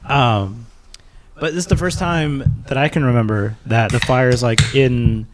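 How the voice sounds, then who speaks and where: A man's voice: medium pitch, measured pace. A man from California chatting as a podcast guest, explaining things in a casual, conversational way.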